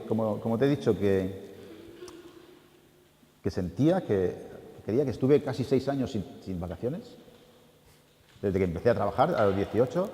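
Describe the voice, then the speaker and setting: A low-voiced man explains his craft informally. He often repeats words and restarts as he goes. The recording has long hall reverb and clear background noise. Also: warm tone. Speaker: veteran animator guest speaker. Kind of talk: informal talk explaining animation technique.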